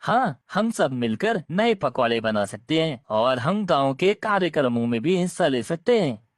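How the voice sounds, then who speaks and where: Cartoon parrot, male, woman performer: encouraging village friend, very low voice, dry close sound. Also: sing-song, moderate pace, no echo. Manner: encouraging, earnest. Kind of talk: cartoon moral story dialogue.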